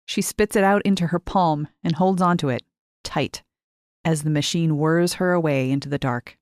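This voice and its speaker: A woman reading short fiction as a scripted narration, measured and clearly articulated. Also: sits low, darkish tone, no room sound, clean studio sound. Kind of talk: scripted audiobook-style narration.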